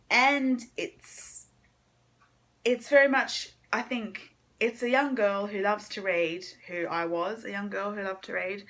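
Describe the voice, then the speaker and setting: A woman's voice: medium pitch, a bit bright. A woman giving a casual book vlog chat, informal and hesitant as she thinks aloud. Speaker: book vlogger. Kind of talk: casual monthly reading wrap-up chat.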